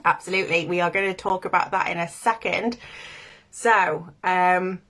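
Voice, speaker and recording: Snuffly low-voiced woman on a livestream. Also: fairly even intonation, moderate pace, a bit bright. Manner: chatty, meandering, laughs often. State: congested from a cold. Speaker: livestream host, spiritual coach. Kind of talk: casual livestream chat.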